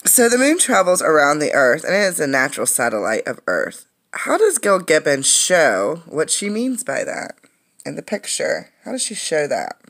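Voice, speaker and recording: A woman with a low-set, noticeably creaky voice reads a children's science book aloud. She sounds like a teacher, explaining as she goes and pausing to comment or pose questions. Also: big pitch swings, a bit bright.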